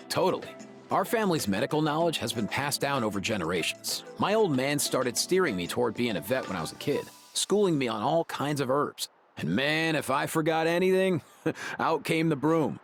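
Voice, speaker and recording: A laid-back young vet. He talks in friendly, slangy dude speak for a game, his mid-range voice swinging freely over clear background music. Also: mid-range voice, very animated, moderate pace. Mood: casual, earnest. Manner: breezy surfer-dude slang. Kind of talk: video game voice acting.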